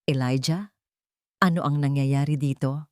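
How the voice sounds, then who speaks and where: A woman's voice: low-pitched, fairly even intonation, a little slow, a little mellow, no room sound, clean studio sound. Woman voicing a cold, jealous, haughty fiancée. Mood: cold suspicion. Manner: sneering, possessive, menacing. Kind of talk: Tagalog radio drama.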